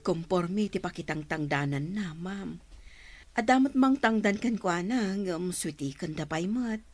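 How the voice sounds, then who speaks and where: A woman's voice: on the low side, lively intonation, measured pace, a little mellow, faint music behind. Patient, aggrieved village mother, Ilocano accent acted by a woman. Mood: deferential. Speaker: actor in audio drama. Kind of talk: Ilocano radio-style drama acting.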